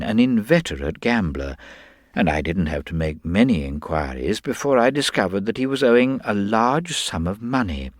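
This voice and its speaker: A male narrator plays a quietly shrewd middle-aged investigator in an audiobook. His voice is low and swoops expressively, yet he stays gentle, hesitant and exact, in a dry, close sound. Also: no echo, full character voice. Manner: gentle, hesitant, precise, understated. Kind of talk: dramatised audiobook character dialogue.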